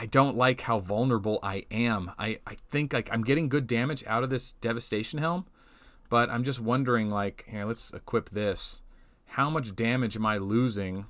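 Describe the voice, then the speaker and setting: Slightly hoarse man rambling over gameplay. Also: mid-range voice, fairly bright tone. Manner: rambling, restarts often, thinks aloud. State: slightly hoarse, losing his voice. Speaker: game channel host. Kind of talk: casual gameplay commentary.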